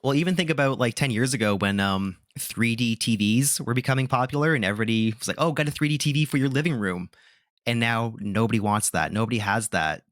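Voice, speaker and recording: A man's voice: medium pitch, fast talker, fairly bright tone, no echo, clean studio sound. A man hosting a gaming podcast, talking casually and giving his opinions with lots of filler words. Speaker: podcast host. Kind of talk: casual chat.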